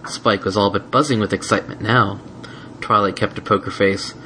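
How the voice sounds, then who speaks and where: A man's voice: on the low side, steady tone, normal speed, bright, forceful tone, slight background noise. A man reading a fan fiction story aloud as scripted narration.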